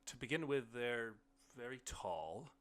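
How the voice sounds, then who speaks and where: A man's voice: medium pitch, lively intonation, unhurried, a bit bright, pitched above the performer's own voice. A man playing an awkward, unsure ordinary man in an audiobook, hesitant and searching for words as he explains. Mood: fumbling, earnest. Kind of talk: audiobook character dialogue.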